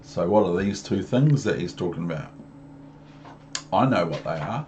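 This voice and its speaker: A man's voice: low-pitched, lively intonation, moderate pace, darkish tone, a little hiss or hum. A man with a New Zealand accent reading a storybook to young children, rambling into chatty asides and questions for the listener. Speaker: storybook reader.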